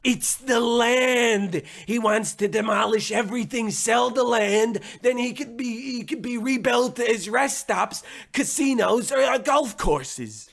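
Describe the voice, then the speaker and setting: A man's voice: very high voice, slow pace, creaky, hardly any pauses, a bit bright, exaggerated voice. A man playing a gossipy, worrying tenement neighbour, wordy and mock-dramatic in an old-time radio comedy parody. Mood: worried.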